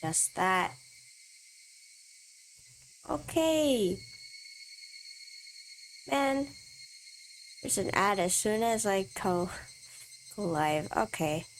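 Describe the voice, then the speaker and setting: A woman's voice: medium pitch, animated, extremely slow, pauses often, slight background noise, rough recording. A woman streamer with allergy sniffles, reading a visual novel's first-person text aloud with asides of her own. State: stuffy from allergies.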